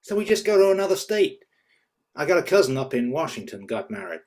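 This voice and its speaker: A man's voice: fairly high pitch, very animated, brisk, a little mellow. A man playing a cocky, earnest young man in love in a script table read. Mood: eager, sincere.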